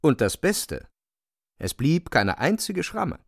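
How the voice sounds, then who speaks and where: A man's voice: a little high, big pitch swings, slow, creaky, slightly rough, no echo, clean studio sound, exaggerated voice. A man narrating a literary radio drama as a dramatic storyteller.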